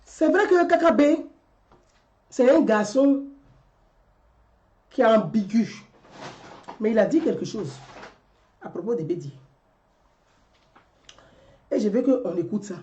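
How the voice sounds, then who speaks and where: A woman speaks in an animated commentary monologue, firing off and repeating rhetorical questions. Her voice sits somewhat high and swings widely in pitch. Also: takes it slowly, long pauses, dull audio. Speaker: commentary vlogger.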